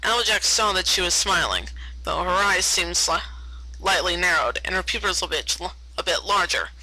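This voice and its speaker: A woman's voice: on the low side, normal speed, bright, forceful tone, no echo, rough recording. A woman narrating a story aloud as an amateur reader, often stumbling over words and correcting herself. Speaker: amateur fan fiction reader.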